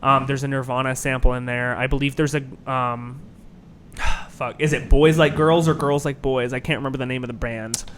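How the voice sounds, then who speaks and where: A young man with a high register voice, a musician talking through his influences in a casual interview, rambling with lots of fillers and restarts. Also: steady tone, normal speed, a bit bright, a little hiss or hum. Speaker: guest musician.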